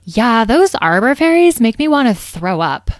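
A woman's voice: on the high side, very animated, normal speed, no echo, studio quality, pitched above the performer's own voice. A woman voicing a haughty, squeamish fairy attendant in a storybook, scolding and disdainful. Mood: disgusted. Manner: snippy, disdainful.

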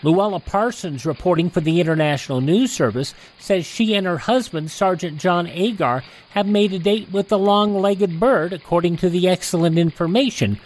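A man's voice: sits high, moderate pace, few pauses, rough recording. A man hosting a radio show, reading scripted news and programme introductions. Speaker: radio host.

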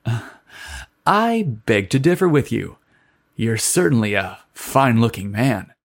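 A man's voice: mid-range voice, sing-song, unhurried, creaky, full character voice. A nervous young writer, stammering and flustered, his words tumbling out, as a male narrator puts him on. It is a plain storybook voice close to the reader's own. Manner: stuttering, flustered.

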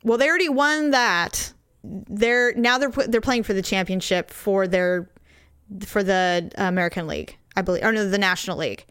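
A middle-aged woman, slightly hoarse, telling a story in casual, animated chat and laughing often. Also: medium pitch, moderate pace, no echo, clean studio sound. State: hoarse, recovering voice.

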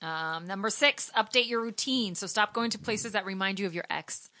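A woman from the US East Coast hosting a casual group chat, animated and blunt, reading points off a list. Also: medium pitch, moderate pace. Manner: animated, confrontational, acts out quotes loudly. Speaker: podcast host.

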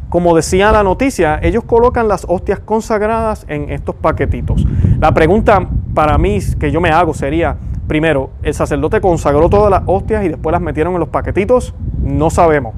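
A man's voice: fairly high pitch, darkish tone, a little hiss or hum, low-quality recording. Earnest Puerto Rican man posing questions. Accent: Puerto Rican Spanish. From Puerto Rico. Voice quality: frequent restarts and "¿verdad?" tags. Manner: earnest, asks many rhetorical questions. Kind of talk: religious commentary to viewers.

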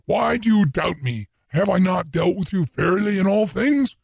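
Man reading a gravelly, hot-tempered ironsmith, blustering and proud. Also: expressive, moderate pace, darkish tone, no echo, clean studio sound, full character voice. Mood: indignant. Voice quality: gravelly, booming. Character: gruff booming proud male ironsmith.

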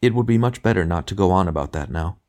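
A male narrator plays a serene younger spirit, a redeemed sinner in a storybook. He speaks with calm, kindly frankness and a quiet joy, patiently urging and reassuring. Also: low voice, quick pace. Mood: gentle, reassuring. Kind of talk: literary audiobook character dialogue.

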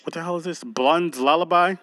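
A man's voice: on the high side, a little fast, bright, forceful tone, no echo. A man co-hosting a podcast, chatting loosely with a friend, joking and swearing as he goes. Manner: loose, joking, profane banter. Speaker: podcast co-host. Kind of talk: casual chat.

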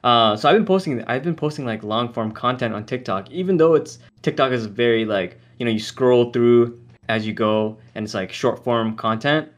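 A young man co-hosting a podcast, telling stories in an animated way and acting out what others say. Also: medium pitch, normal speed, few pauses. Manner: animated storyteller, acts out quotes. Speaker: podcast co-host. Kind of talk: casual podcast chat.

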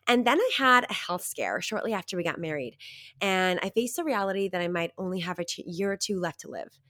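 A woman in her thirties from Canada, a guest telling her story in an upbeat, animated way, slightly nasal from a cold. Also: medium pitch. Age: 30s. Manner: upbeat, animated, laughs often. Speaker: guest, success coach. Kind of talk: conversational storytelling.

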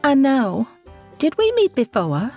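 A woman's voice: high-pitched, wildly swooping pitch, slow pace, a little mellow, faint music behind, full character voice. A woman playing a genteel, self-important socialite in an audio drama, gracious in an affected way yet blunt. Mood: airy, curious.

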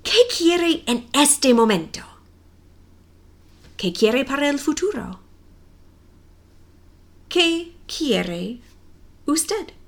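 Slow, lively-voiced woman reading a story. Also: high voice. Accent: non-native Spanish accent. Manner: careful, deliberate reading with clear pauses. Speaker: Spanish teacher.

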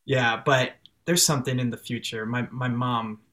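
A man's voice: mid-range voice, normal speed. Young man with a Chicago accent. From Chicago, raised in France till six. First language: French. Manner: laughs often, restarts and fillers, relaxed. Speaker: musician guest. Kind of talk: casual interview over video call. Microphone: video call.

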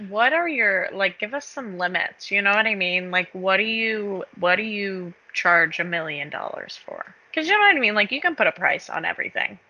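Bright, lively, teasing woman host. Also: medium pitch, moderate pace, hardly any pauses, lo-fi sound. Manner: playful, teasing, laughs easily. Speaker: podcast co-host. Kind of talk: casual podcast chat.

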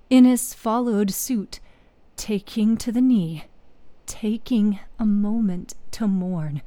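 A woman narrating a scripted fantasy story in a solemn, dramatic manner with hushed phrase endings. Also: medium pitch, no echo.

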